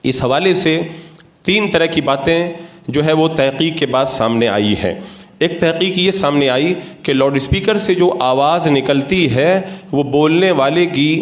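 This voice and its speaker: Male cleric, slightly higher-pitched, formal and didactic religious lecturer, recorded with clear room echo. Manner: didactic, methodical, formal Urdu-laden diction. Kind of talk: religious lecture, explanatory sermon. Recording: roomy, echoing sound.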